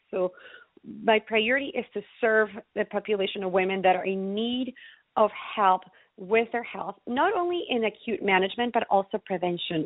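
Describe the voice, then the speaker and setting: A woman's voice: mid-range voice, dry recording. An earnest woman, the practitioner guest you would hear on a wellness call-in, coming through a narrow, phone-like line with no room around her. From Ecuador. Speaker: interview guest, naturopathic physician. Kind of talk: conversational health explanation.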